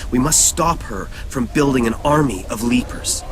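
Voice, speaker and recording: A man's voice: mid-range voice, expressive, normal speed, music in the background, natural voice. Commanding, poetic rebel mastermind played by a man. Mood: commanding, intense. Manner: commanding, poetic, dramatic phrasing. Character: male rebel mastermind, cryptic, driven, poetic. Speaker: rebel leader giving mission orders. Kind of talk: video game voice acting, mission briefing.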